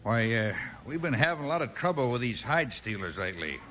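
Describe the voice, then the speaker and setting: An actor playing a jumpy, suspicious buffalo hunter in a 1950s radio western, with a rustic frontier drawl and slang. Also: male voice, mid-range voice, very animated, brisk, bright, forceful tone. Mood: apologetic, worried. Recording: faintly noisy 1950s radio recording.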